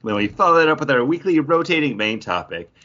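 A man's voice: on the high side, big pitch swings, fast talker, sharp tone, lo-fi sound. A man co-hosting a podcast in casual, conversational talk. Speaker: podcast co-host.

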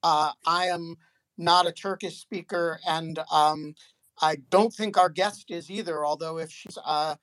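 Halting man moderating an audio chat. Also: a little high, fairly even intonation, a bit bright, dry recording, rough recording. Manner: halting, many fillers. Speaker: host.

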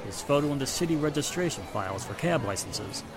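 Man playing a brisk 1940s radio police inspector. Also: mid-range voice, lively intonation, a crowd in the background, natural voice. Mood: matter-of-fact. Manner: matter-of-fact, assertive. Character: brisk, matter-of-fact male police inspector in 1940s mystery. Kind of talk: 1940s radio drama acting. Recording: music and street sounds behind the voice.

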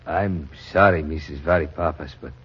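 An actor playing a grave, sorrowful family doctor who speaks frankly in a 1940s radio drama. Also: male voice, rumbling low, moderate pace, slightly rough, heavily acted. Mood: sorrowful, urgent. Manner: solemn, frank. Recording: dull, noisy 1940s radio recording.

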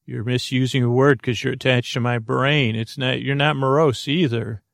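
A man with a low, gravelly voice rambles through a bedtime monologue, stumbling over restarts and fillers as he jokes gently. His voice sits close and dry, with no room sound around it. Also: fairly even intonation, no echo. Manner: halting, full of fillers and restarts, gently joking. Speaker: sleep podcast host.

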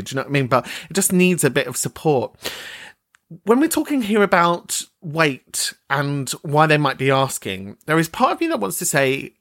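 Man, podcast host, slightly high voice, dry close mic, frank, sweary, chatty. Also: a bit bright, no room sound. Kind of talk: casual chat.

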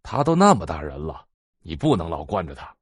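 Brief: stern patriarch, sixties, weary, low register, audio drama. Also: male voice, big pitch swings, a little fast, breathy edge, dry recording, studio quality, heavily acted. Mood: disapproving. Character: weary, stern 64-year-old husband and patriarch. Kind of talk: Chinese audio drama acting.

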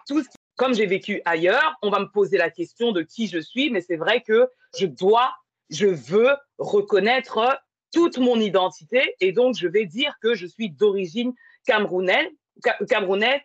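A woman's voice: mid-range voice, husky, dry recording. A woman from France in casual, reflective conversation, hesitating and circling back to explain herself. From born and grew up in France. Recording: slightly dull lo-fi sound.

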